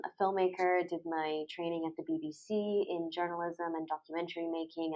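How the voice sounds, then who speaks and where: A woman's voice: on the low side, muffled recording. A young woman from Egypt and Thailand telling her story informally as a guest, often tossing in "like" and "you know.". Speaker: podcast guest, storyteller and photographer. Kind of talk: casual conversational storytelling.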